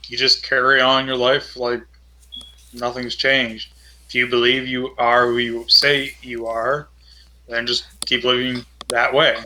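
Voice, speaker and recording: Male role-player, flat intonation, very forceful bright voice, measured advice-giving. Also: medium pitch, poor audio. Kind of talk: improvised in-character role-play.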